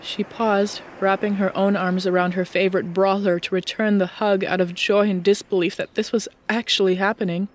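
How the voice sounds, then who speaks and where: A woman narrates an audiobook story from a script. Her voice sits at an ordinary middle pitch as she reads the prose. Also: flat delivery, normal speed, hardly any pauses.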